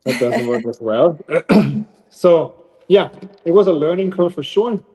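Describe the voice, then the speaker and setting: A man from Germany with a German accent, who runs a dog training facility, talking thoughtfully with many pauses in a casual interview. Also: on the high side, lively intonation, dark tone, poor audio.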